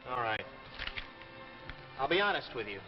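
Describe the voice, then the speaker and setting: An actor playing an insistent, smooth-talking young man after money in a 1950s television drama. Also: medium pitch, big pitch swings, moderate pace, natural voice. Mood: pleading, insistent. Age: young adult. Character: pushy, scheming young man hungry for money. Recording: muffled vintage TV broadcast, faint music.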